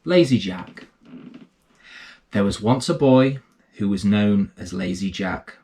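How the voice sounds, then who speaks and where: A man hosting and reading children's bedtime stories in a gentle, reassuring manner. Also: on the low side, expressive, slow pace. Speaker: bedtime story reader and host. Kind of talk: storytelling read aloud for children.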